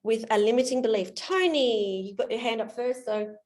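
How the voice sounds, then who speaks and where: A woman's voice: fairly high pitch, normal speed, darkish tone. A woman coaching a guest through his limiting beliefs on a video call, in a friendly, explanatory way. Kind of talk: live coaching demonstration in an online session.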